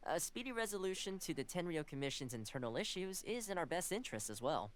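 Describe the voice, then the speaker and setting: A man's voice: a little high, big pitch swings, fast. A man voicing a friendly, diplomatic young household envoy in a video game, courteous and easygoing as he explains. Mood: explaining, diplomatic. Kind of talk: game dialogue. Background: soft game music.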